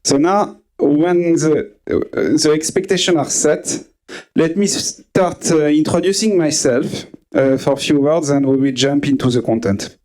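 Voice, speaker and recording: A man in his forties from France with a French accent, giving a conference talk to a live audience, pausing often with fillers and restarts. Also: a little high, a little mellow, low-quality recording. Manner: hesitant, many uh fillers and restarts. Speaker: conference speaker.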